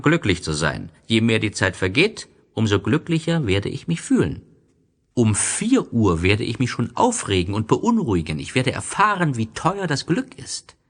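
A man voicing a gentle, wistful male fox in a storybook, speaking earnestly and patiently like a wise teacher. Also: mid-range voice, pitched above the performer's own voice, full character voice. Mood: earnest, tender.

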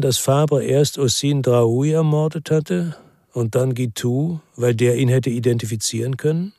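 Brooding, measured ex-cop with mid-pitched voice. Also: male voice, a little mellow, no echo. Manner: measured, reflective, restrained. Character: brooding, world-weary male ex-policeman from Marseille, first-person narrator. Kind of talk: literary crime drama narration and dialogue.

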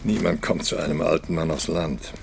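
An actor playing a bitter, self-pitying opera star in his eighties, muttering and brooding with long pauses in a radio drama. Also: fairly even intonation, noisy background, exaggerated voice. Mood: bitter, resigned. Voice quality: gravelly, low. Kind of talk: audio drama acting.

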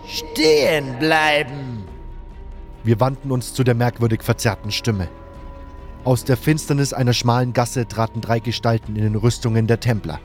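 A man's voice: mid-range voice, darkish tone. A man reading scripted first-person narration for a fantasy audio drama. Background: faint music.

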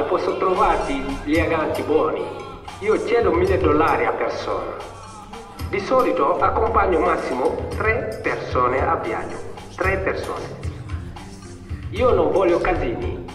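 A self-assured male people smuggler on stage. His voice is high for a man, brisk and insistent, repeating points like a dealer. It rings in an echoey hall with music clearly behind it. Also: sing-song, far from the mic. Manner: businesslike, insistent, repeats himself for emphasis. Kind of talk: theatrical stage monologue.

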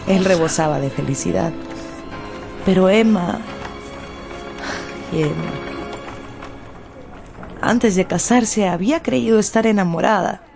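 A woman narrator telling a scripted story for a radio drama in an expressive, theatrical way. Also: mid-range voice, slow, gravelly, pauses often. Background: faint music.

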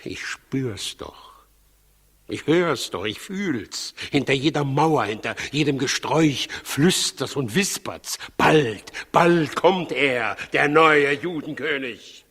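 A man's voice: mid-range voice, lively intonation, slow, fairly bright tone, slightly rough, exaggerated voice. An actor playing an irritable, paranoid, imperious king in a 1960s German radio drama, theatrical in delivery. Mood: brooding, suspicious, then defiant outburst. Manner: theatrical, imperious, swinging from outbursts to bitter muttering. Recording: 1960s radio studio, long reverb.

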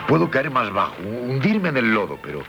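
An actor playing a dissolute, self-loathing gentleman drinker in a 1970s radio drama, with a hesitant, stammering delivery. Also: mid-range voice, huge pitch swings, normal speed, vocal fry, muffled recording. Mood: fervent confession. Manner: hesitant, stammering, broken by wry laughs. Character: dissolute, self-loathing drunkard gentleman confessing his passions. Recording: 1978 radio broadcast with loud music behind.

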